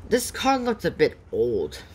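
A woman's voice: low-pitched, wildly swooping pitch, measured pace, slightly rough, full character voice. Woman reading as a wry young boy. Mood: calm.

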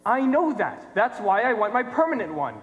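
Earnest, exasperated young male applicant played by a young man, stage comedy, lively pitch swings, reverberant hall. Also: normal speed, muffled recording. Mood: earnest, frustrated. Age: teenager or young adult. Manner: earnest, pleading, increasingly frustrated. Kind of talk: comic stage play acting. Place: theatre stage, standing by floor mic. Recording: echoey hall from a distance.

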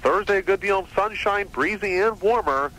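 A man's voice: high voice, normal speed, bright, forceful tone. A man working as a meteorologist, reading a scripted radio weather forecast. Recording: noisy old radio aircheck tape.

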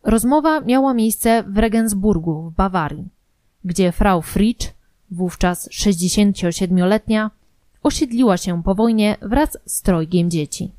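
A woman reading scripted documentary narration in a formal, measured manner. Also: medium pitch, dark tone, no room sound.

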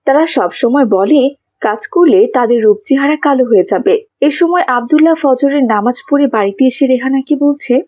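A woman's voice: sits high, moderate pace, darkish tone, dry recording. A woman giving scripted storytelling narration for a cartoon tale.

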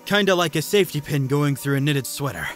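A man acting a cocky young male sorcerer in an amateur manga dub, emphatic and taunting, quick to size up his foe. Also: a little high, expressive, in a large hall, faint music behind, close to an everyday voice. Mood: nonchalant.

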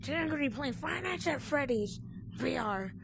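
A woman's voice: high-pitched, lively intonation, brisk, vocal fry, noisy background. A woman giving casual commentary while she plays a game, and she is losing her voice. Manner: chatty, reacts out loud while playing. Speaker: gaming video host.

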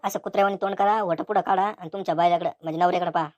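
A woman's voice: lowish voice, steady tone, racing, lo-fi sound, background noise, lightly acted. Cheeky young village photographer lad acted by a woman. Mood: sarcastic. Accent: rural Maharashtrian. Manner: bossy, sarcastic, teasing. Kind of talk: cartoon comedy dialogue.

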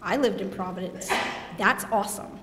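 A young woman speaking earnestly and haltingly in a short clip. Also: medium pitch, sharp tone. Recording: roomy echo with faint noise.

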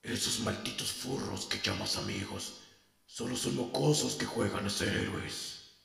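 Gravelly, bitter undead villain. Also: male voice, fairly low pitch, a bit bright, faint music behind, full character voice. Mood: contemptuous. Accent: Latin American Spanish. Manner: slow, menacing, contemptuous. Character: bitter, cynical male villain, middle-aged undead killer. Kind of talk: Latin American Spanish animation fandub. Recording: roomy, distant voice.

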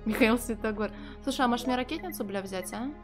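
A woman's voice: fairly high pitch, quick pace, over music. A young woman streaming a game from a small room at home, chatting to viewers, swearing freely and translating the game's lines on the fly. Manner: profane, sardonic, chatting to viewers. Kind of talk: casual live game commentary with translation.

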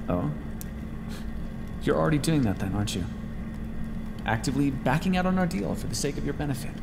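A man's voice: on the high side, big pitch swings, a little fast. A man voicing a sardonic, protective vampire scientist in an ASMR roleplay, with dramatic, formal speech that often drops toward a whisper. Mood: cold, bitter. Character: desperate, sardonic male vampire scientist, protective of his captive. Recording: hall-like reverb with clear background noise.

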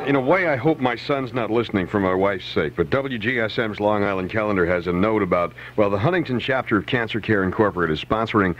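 A man's voice: on the low side, fast talker, hardly any pauses, slight background noise. A man working as a radio DJ, chatting breezily through weather and announcements with conversational asides on a 1970s aircheck. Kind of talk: casual live radio DJ patter with weather and announcements. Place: radio studio. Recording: dull 1970s AM radio aircheck, music behind.

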